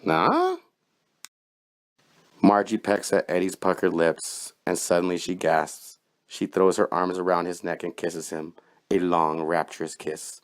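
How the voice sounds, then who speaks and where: Very low, theatrical male storyteller. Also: little pitch movement, moderate pace. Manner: theatrical, wry. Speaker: narrator. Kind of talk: dramatic scripted horror storytelling.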